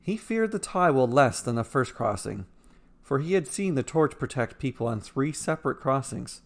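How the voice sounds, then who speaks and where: A man narrating a scripted fantasy story with measured, dramatic delivery. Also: medium pitch, sing-song, creaky.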